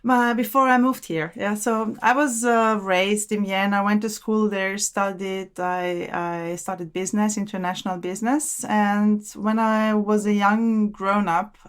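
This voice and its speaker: A woman in her forties from Austria, a podcast guest telling her story in a relaxed, thoughtful way, often repeating words as she searches for them. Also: mid-range voice, measured pace, hardly any pauses, clean tone. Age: 40s. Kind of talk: casual interview conversation.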